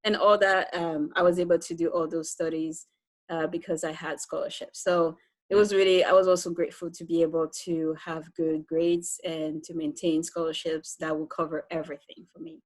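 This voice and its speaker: A woman's voice: sits low, little pitch movement, normal speed, no room sound. A woman from Cameroon with a Cameroonian accent, telling her own story conversationally as an interview guest.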